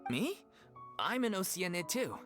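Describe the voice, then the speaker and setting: An actor playing a candid, soft-hearted young man from a theater troupe in a video game, speaking earnestly. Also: sits high, sing-song, a little slow, exaggerated voice. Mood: friendly. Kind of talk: video game character acting. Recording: music playing behind.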